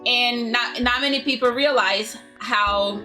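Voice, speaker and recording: A woman's voice: fairly high pitch, a little slow, bright, forceful tone, soft background music, rough recording. A young woman from Ecuador, chatting casually as co-host and sharing fun facts with frequent laughs. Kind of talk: casual chat.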